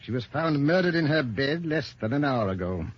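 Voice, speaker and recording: A man's voice: medium pitch, animated, moderate pace, natural voice. A man playing a formal, probing, authoritative police inspector in an old-time radio detective drama. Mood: stern. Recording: dull, muffled old radio recording.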